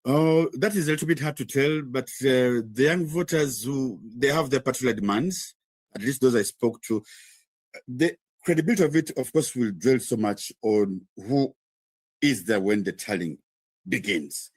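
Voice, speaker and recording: A man's voice: medium pitch, moderate pace, a little mellow, breathy edge, dry recording. A man from Uganda reporting live as a correspondent in a news interview, explaining at length with frequent fillers.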